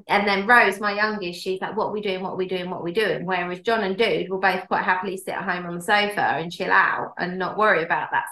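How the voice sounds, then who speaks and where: A woman talks casually as a podcast co-host, laughing often and circling back to restart her sentences. Her voice is bright and forceful and rings in a roomy, echoey space. She sits a touch above the usual pitch for a woman. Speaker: podcast co-host and dog trainer. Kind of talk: casual chat. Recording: echoey room.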